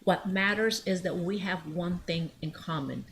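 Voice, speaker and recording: A woman's voice: on the low side, normal speed, a little hiss or hum. A middle-aged woman from Mexico who grew up in Alaska, talking in an emphatic, motivational way as a talk-show guest, repeating phrases and asking rhetorical questions. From born in Mexico, grew up in Alaska. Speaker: guest, business owner. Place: at her home, on a podcast set.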